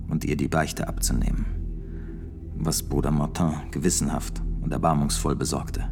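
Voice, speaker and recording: Ein Schauspieler spielt in einem Hörspiel einen ernsten mittelalterlichen Mönch, der langsam und feierlich als Zeuge aussagt. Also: male voice, very deep voice, vocal fry. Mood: grave, solemn. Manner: slow, solemn, deliberate recounting. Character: grave medieval friar testifying as witness to an execution. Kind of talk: radio play testimony.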